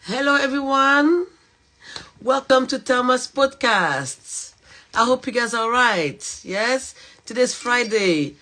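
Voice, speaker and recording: A woman's voice: a little high, expressive, takes it slowly. A woman with a French accent, hosting a casual live podcast over a video call while getting over a cold. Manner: chatty, warm greetings, lots of "okay" and "all right" fillers. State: recovering from a cold. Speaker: podcast host.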